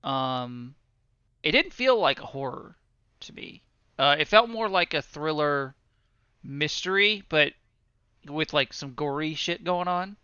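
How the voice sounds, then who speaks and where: A bright, clear-voiced man in his thirties rambling through his take on a film, full of ums, swearing and self-deprecating asides. The recording is dry and a little dull. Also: fairly high pitch, expressive, unhurried, pauses often, dry recording. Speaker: podcast co-host. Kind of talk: casual movie review chat.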